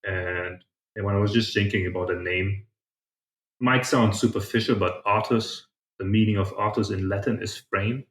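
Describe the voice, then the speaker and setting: Guy being interviewed, lowish voice, thoughtful and chatty, pretty echoey room. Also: steady tone, moderate pace, a bit bright. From southern Germany, partly grew up in China. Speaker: podcast guest, startup founder. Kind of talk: casual interview answers.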